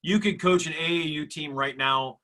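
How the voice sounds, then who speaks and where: A man's voice: fairly high pitch, moderate pace, sharp tone. A man hosting an interview, asking loose, rambling questions in a casual tone. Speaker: interviewer, host.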